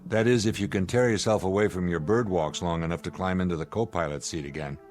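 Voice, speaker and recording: An American actor playing an irritable, sarcastic spaceship commander in a sci-fi radio drama, wry and needling. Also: male voice, low voice, fairly even intonation, fast talker, darkish tone, soft background music, close to an everyday voice.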